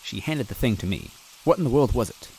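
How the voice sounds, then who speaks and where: A man narrating a story in first person in a wry, measured way. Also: medium pitch, lively intonation, a little mellow, slight background noise, poor audio. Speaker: story reader.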